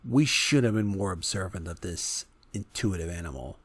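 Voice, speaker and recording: A man narrating a first-person horror story in a theatrical way, swelling for emphasis and dropping to a hush. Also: low-pitched, normal speed, very noisy.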